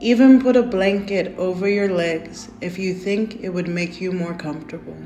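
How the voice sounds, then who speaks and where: A woman leading a guided meditation in a gentle, soothing, unhurried way, softly inviting the listener to breathe. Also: fairly low pitch, fairly even intonation. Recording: roomy echo with faint music.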